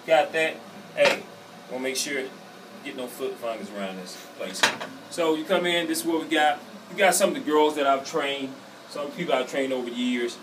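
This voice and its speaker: A man's voice: medium pitch, normal speed, background noise. A middle-aged man with a Southern US accent giving a casual, boastful walking tour of his gym. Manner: upbeat, boastful salesman patter. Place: indoor gym, echoey rooms. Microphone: handheld camera following him. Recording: echoey camera audio from a distance with music. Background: music playing in the gym.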